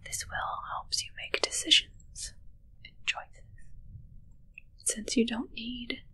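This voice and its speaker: A woman's voice: fairly high pitch, takes it slowly, full character voice, low-quality recording. Woman playing a whispering, controlling witch. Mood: coldly controlling. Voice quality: soft breathy whisper. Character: controlling witch grooming her familiar, possessive and commanding. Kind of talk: ASMR roleplay. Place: small dark draped indoor room. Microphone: close ASMR microphone.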